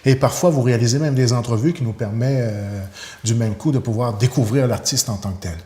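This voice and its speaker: A man's voice: medium pitch, fairly quick, warm tone, roomy sound. A man hosting a TV interview, asking long, affirming questions filled with euh and là. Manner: wordy, affirming, fills with euh and là. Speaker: TV interviewer, host.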